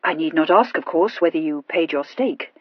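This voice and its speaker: A woman voicing a suave, unruffled English earl with a measured, dryly amused manner in an audiobook story. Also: sits low, no room sound, muffled recording. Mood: calm. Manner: calm, measured, dryly understated.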